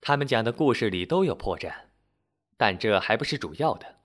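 Man playing a shrewd tavern landlady, lively pitch. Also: brisk, close to an everyday voice. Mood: sly, teasing. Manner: sly, confident, bantering.

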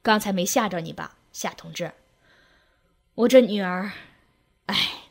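A woman's voice: mid-range voice, very animated, moderate pace, voice pushed down. A woman voicing a weary village father of fifty, coaxing and apologetic, in a story reading. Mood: apologetic, sad. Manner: coaxing, hushed, sighing.

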